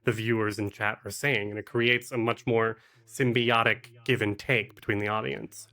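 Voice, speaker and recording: A man's voice: on the low side, level tone, moderate pace, pushed and bright. A man speaking as a podcast guest in a casual conversation, with hesitant, reflective phrasing and repeated words. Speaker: podcast guest, actual play streamer and producer.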